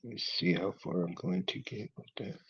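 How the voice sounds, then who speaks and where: Male maker vlogger, deep monotone voice, laid-back working chatter on a dull lo-fi mic. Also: moderate pace, vocal fry, breathy edge. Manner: laid-back, talks quietly while working. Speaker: hobby craft vlogger. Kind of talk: casual working chat while building.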